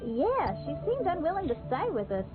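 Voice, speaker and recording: Considerate girl adventurer, anime game style, high voice, thin phone-like sound. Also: very animated, normal speed. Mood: friendly. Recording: phone-like band, music behind.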